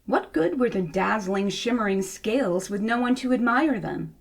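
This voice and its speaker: A woman reading to children as a storyteller, with expressive narration. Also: mid-range voice, normal speed, creaky. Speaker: storybook reader.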